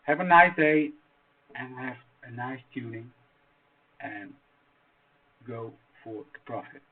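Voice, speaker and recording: A man's voice: medium pitch, animated. Dutch-accented man, tinny phone-like sound. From Netherlands. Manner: halting, many fillers and restarts. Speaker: trading strategy explainer. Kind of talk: screen-share tutorial.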